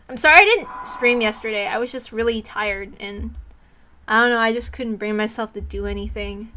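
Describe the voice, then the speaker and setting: A woman's voice: on the high side, sharp tone. A woman streamer reading a story aloud on a livestream, slipping into casual asides.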